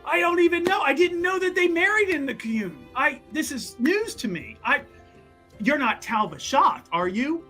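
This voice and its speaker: A man playing Aiden, an excitable, tactless male rogue who gushes and rambles with questions. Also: very high voice, moderate pace, soft background music, pitched above the performer's own voice, heavily acted. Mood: astonished.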